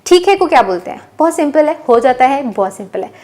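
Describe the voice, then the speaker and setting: Lady english teacher, high voice, says example sentences real slow for learners. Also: sing-song, a little mellow. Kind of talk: bilingual language lesson.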